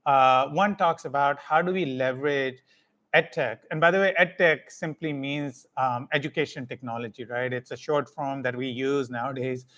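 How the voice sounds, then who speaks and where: A man's voice: medium pitch, fairly even intonation, normal speed, a bit bright. A middle-aged man, a company director, giving a live talk to parents, switching between English and Hindi with many fillers and checking "right?". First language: English first, Hindi second. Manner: mixes English and Hindi, frequent fillers, tag questions. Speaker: ed tech company managing director. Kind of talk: live session talk to parents, answering questions.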